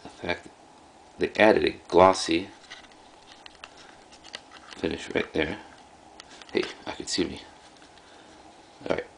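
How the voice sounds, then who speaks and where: Deep, gravelly man with halting delivery. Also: steady tone. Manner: halting, offhand delivery in short phrases. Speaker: video host, collector. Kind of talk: casual product showcase. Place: indoors in a room at home. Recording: clearly noisy home recording.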